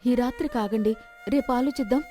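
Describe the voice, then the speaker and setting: A woman voicing a worried, gentle village wife in a moral story, pleading anxiously. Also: on the high side, brisk, pitched above the performer's own voice, natural voice. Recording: music clearly behind the voice.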